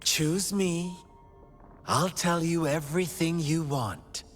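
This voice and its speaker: An actress playing a sly, teasing villainess in a video game, with a drawling, mock-hurt, theatrical delivery. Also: female voice, sits low, slow, long reverb tail, faint music behind. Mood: coaxing, smug.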